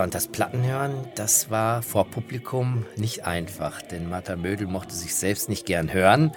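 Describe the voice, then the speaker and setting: A man presenting personal anecdotes in a conversational, enthusiastic storytelling way. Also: low voice. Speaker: presenter and interviewer telling anecdotes.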